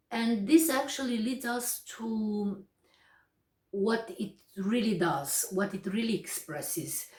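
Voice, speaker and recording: A young woman with a Romanian accent explains English grammar at an unhurried pace. She is a native Romanian speaker who pauses often and picks her words with care. She sounds set back from the mic in an echoey room. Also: medium pitch, darkish tone, distant mic. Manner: slow and deliberate, with frequent pauses and hesitations. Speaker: English teacher.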